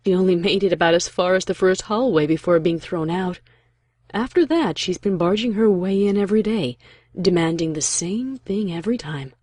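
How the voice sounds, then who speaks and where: A woman's voice: on the low side, moderate pace, a little mellow, breathy edge, no room sound. A woman voicing an amused, sarcastic, regal female pony princess with a dry, teasing manner in a cartoon fan fiction reading. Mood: explanatory.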